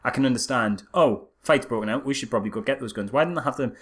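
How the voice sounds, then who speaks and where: A man's voice: mid-range voice, quick pace, fairly bright tone. A man co-hosting a casual film review chat, speaking in a sarcastic, incredulous way with frequent asides. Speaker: podcast co-host.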